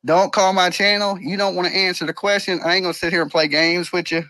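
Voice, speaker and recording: Blunt man hosting a call-in stream, higher-pitched. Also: steady tone, quick pace, bright, forceful tone, faint music behind, poor audio. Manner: blunt, colloquial, streetwise phrasing. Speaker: live-stream host taking calls. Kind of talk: confrontational live call-in talk.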